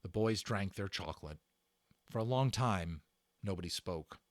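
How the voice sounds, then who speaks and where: A man giving an expressive, dramatic read-aloud of a children's book as narrator. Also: sits low, normal speed, no echo, studio quality. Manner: expressive, performed reading. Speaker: narrator and voice actor.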